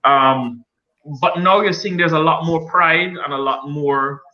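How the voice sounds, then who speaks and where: A man's voice: fairly high pitch, a little slow, dull audio. A man from Guyana with a Guyanese accent, a journalist talking as a guest on a casual panel, chatty and joking with many restarts.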